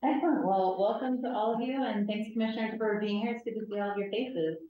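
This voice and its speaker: A woman's voice: medium pitch, fast talker, slight background noise. A woman chairing a public commission meeting, upbeat and practical, with frequent um and uh fillers. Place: council meeting room. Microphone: distant room microphone. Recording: echoey muffled room from far off.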